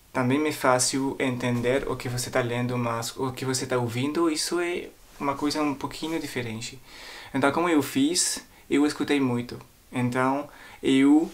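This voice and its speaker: A man's voice: medium pitch, fairly bright tone. Man with foreign accent, hesitant language vlogger. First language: French. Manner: hesitant, searching for words, repeating himself. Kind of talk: casual explanatory talk to viewers.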